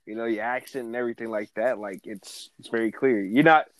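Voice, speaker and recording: A man from Brooklyn hosts a relaxed interview, talking in loose street slang. His voice sits close to the mic with a dry, roomless sound. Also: medium pitch, fairly quick, no echo, rough recording. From Brooklyn, New York. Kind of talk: casual interview conversation.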